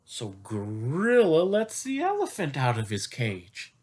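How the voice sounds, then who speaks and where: Male storytime reader for small kids: unhurried, lively pitch swings, chatty and questioning. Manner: expressive, chatty, asking questions as he reads. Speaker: coach reading to young children. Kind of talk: children's picture-book storytime.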